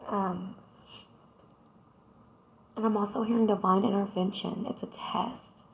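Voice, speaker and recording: A woman, hoarse from a cold, doing a casual tarot reading and chatting straight to her viewers. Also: medium pitch, steady tone, unhurried, muffled recording, a little hiss or hum. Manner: chatty, addresses viewers directly with repeated "right?". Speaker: tarot reader.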